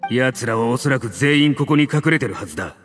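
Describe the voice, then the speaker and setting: A man voicing a loyal, gruff mercenary squad leader in a game, speaking in a commanding tone. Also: mid-range voice, lively intonation, a little fast, faint music behind, close to an everyday voice. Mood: steady. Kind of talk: Japanese game voice acting.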